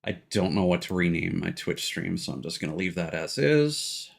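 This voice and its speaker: A man casually commentating on a livestream, thinking aloud in a rambling way with hesitant pauses. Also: low-pitched, sing-song, vocal fry. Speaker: game livestreamer.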